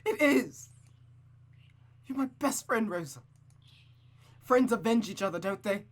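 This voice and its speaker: A woman's voice: medium pitch, animated, measured pace, voice pushed up. A woman voicing a betrayed, vengeful best friend in a visual novel, insistent and emphatic. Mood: vengeful insistence.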